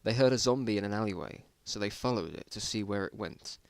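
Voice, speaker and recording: A man's voice: lowish voice, a little fast, creaky, clear, resonant tone, no room sound. A man narrating a story aloud as an amateur dramatic reading, with wry, self-mocking asides.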